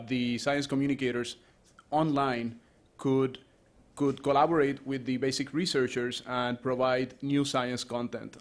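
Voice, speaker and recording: Man, professor, panel talk, medium pitch, conversational. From Puerto Rico. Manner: conversational, many restarts and fillers. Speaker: associate professor, speaker at a round table.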